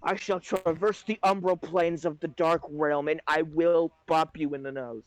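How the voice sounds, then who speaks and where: A man's voice: fairly high pitch, fairly even intonation, normal speed, no room sound, low-quality recording. A man co-hosting a podcast, talking crudely and making goofy over-the-top threats, with some stammering. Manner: mock-threatening, stammering, profane. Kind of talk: crude casual chat.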